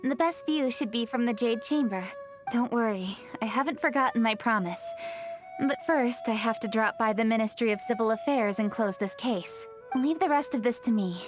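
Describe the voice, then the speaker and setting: A woman's voice: fairly high pitch, moderate pace, music in the background, lightly acted. A woman voicing a diligent, courteous and composed young noblewoman in a video game, speaking politely. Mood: composed, reassuring. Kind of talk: English video game dub acting.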